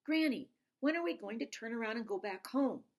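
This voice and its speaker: A woman's voice: on the high side, expressive, a little fast, pitched above the performer's own voice. A woman voicing an earnest, anxious young girl who questions and pleads, in a storybook read-aloud. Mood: anxious questioning.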